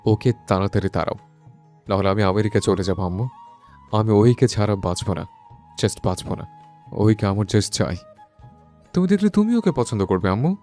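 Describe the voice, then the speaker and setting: A man's voice: fairly low pitch, animated, normal speed, long pauses, warm tone, faint music behind. A man playing a brooding, lovestruck young son in an audio love story, earnest and insistent, mixing in English words. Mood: fed up, insistent.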